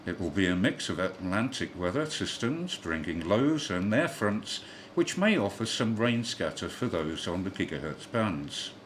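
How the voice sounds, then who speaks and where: A man's voice: lowish voice, a bit bright, a little hiss or hum. A man reading a scripted amateur radio news bulletin in a formal read-aloud delivery.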